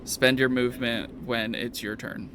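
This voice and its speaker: A man casually running a tabletop game as game master, speaking haltingly with pauses to think. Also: medium pitch, little pitch movement. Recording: noisy, unclean home recording.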